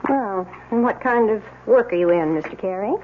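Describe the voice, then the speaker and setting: A woman's voice: medium pitch, normal speed, soft background music, natural voice. Stern, scolding older aunt for radio play, woman acting; disapproving and blunt, narrow phone-like old broadcast sound. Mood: brusque, prying. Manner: curt, scolding.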